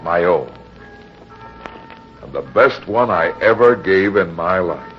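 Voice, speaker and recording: An actor playing a tough, commanding, wry American private detective in an old-time radio drama, terse and slangy. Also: male voice, low-pitched, expressive, slow, music in the background. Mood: calm, assured. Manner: terse, hard-boiled, slangy. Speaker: detective, lead and narrator. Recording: muffled old radio broadcast recording.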